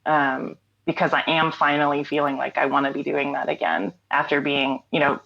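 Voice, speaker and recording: A woman's voice: deep voice, steady tone, moderate pace, gravelly, a bit bright, muffled recording. A woman guest speaking candidly in a casual interview, reflective, with frequent ums and restarts. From North Carolina. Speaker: interview guest, singer-songwriter.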